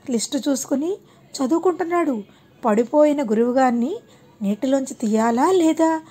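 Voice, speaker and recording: A woman's voice: sits high, mellow. A woman narrating a scripted moral tale for children as an audiobook storyteller.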